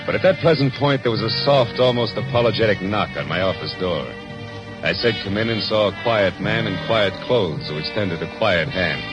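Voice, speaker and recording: An American man acting a wry, hardboiled private detective in a 1940s radio drama, deadpan and clipped, on an old recording. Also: on the low side, close to an everyday voice. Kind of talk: 1940s radio drama acting, first-person noir narration. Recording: muffled old-time radio recording with music.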